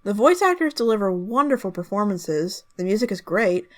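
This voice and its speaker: Woman doing a youtube style review voiceover, medium pitch, talks through her opinions. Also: very animated, normal speed. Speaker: online reviewer. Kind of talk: video review narration.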